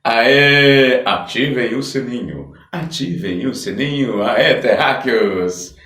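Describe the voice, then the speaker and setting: A man's voice: medium pitch, lively intonation, slow pace, a bit bright. A Brazilian man hosting a podcast as a casual interview chat. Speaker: podcast host. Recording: echoey room, distant mic.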